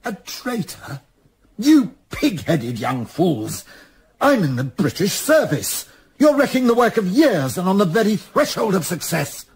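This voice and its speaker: A man's voice: high-pitched, very animated, measured pace, darkish tone, breathy edge, full character voice. Actor as a volatile disgraced older father. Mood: indignant, blustering. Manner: blustering, old-fashioned cursing, faltering when cornered. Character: exposed older traitor father, blustering then broken. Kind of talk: radio play acting. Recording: faint background noise.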